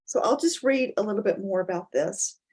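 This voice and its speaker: A woman with a slightly husky voice, reading a children's story expressively and chatting informally about it. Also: mid-range voice, lively intonation, fairly quick, a little mellow. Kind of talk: dramatic storybook reading with casual explanatory asides.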